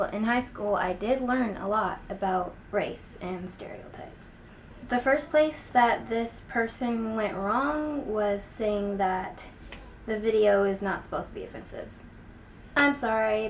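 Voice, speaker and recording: A young woman with an American accent speaks straight to her vlog audience. Her pitch sits in the middle range for a woman, and she leans on key words with deliberate emphasis. There is some background noise in the recording. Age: young adult. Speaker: video blogger. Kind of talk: opinion vlog to camera. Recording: clear background noise, room ambience.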